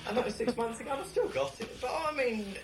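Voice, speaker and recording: A woman's voice: fairly high pitch, fast, creaky. A woman chatting casually and jokingly. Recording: echoey, loud music behind.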